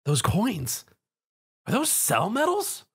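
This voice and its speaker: A man's voice: high voice, wildly swooping pitch, takes it slowly, husky, pitched above the performer's own voice, exaggerated voice. A man voicing a wary, casual young male hero with a dry manner in a story. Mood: uneasy.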